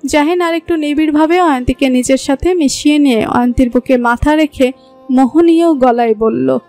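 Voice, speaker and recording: A woman's voice: sits high, normal speed, a little mellow, faint music behind. A woman with a Bangladeshi accent reading scripted narration for a romantic story.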